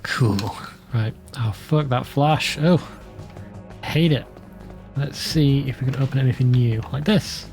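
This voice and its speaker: Male let's-play commentator: gravelly fry, mellow tone, offhand casual delivery. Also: medium pitch, unhurried, faint music behind.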